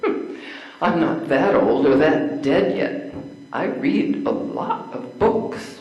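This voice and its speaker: Older woman acting a low-voiced, lively opinionated spinster. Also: slow, muffled recording, faint music behind. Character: opinionated spinster schoolteacher, a suffragist, bluntly advising. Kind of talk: amateur readers theater acting. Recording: echoey room.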